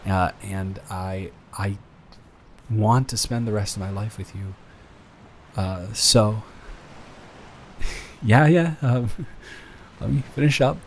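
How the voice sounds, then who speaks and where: A man playing a sweet, nervous boyfriend in an improvised intimate roleplay audio, speaking in a halting, earnest, tender way. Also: lowish voice, a little hiss or hum. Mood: nervous, giddy. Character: sweet, smitten, nervous boyfriend proposing to partner.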